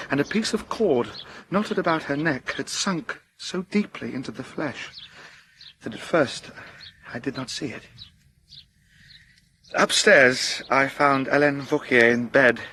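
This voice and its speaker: An actor playing a humble, earnest police sergeant in a radio drama, telling events deferentially and carefully. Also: male voice, mid-range voice, animated, unhurried, bright, forceful tone, slight background noise. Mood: grim.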